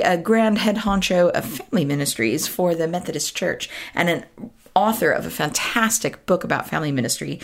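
A woman hosting a podcast with a croaky voice, talking in a chatty, self-interrupting way and laughing at herself. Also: medium pitch. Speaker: podcast host. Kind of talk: casual solo podcast talk.

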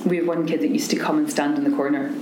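A woman's voice: low-pitched, fairly even intonation, fast. A woman with a Scottish accent, a charity founder speaking earnestly and passionately about her work in an interview. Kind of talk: recorded interview. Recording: echoey room with background noise.

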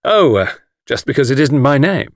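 Offhand, brisk gentleman tour guide, voiced by a male reader, highly animated pitch, close dry sound, storybook hero. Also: dry recording. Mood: modest, glib. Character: breezy, flippant gentleman adventurer working as tour guide. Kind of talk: audiobook character voice.

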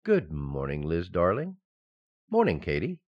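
A man's voice: sits low, wildly swooping pitch, measured pace, dull audio, full character voice. A man playing a mild-mannered, distracted husband in an old-time radio sitcom, hesitant and absent-minded. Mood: breezy, affectionate.

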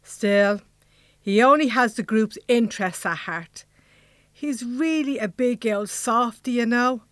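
A woman's voice: fairly high pitch, slow, fairly bright tone, no echo. A woman acting the bossy, no-nonsense leader of a secret band in an Irish comedy audio drama, colloquial, with a Dublin accent. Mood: reassuring.

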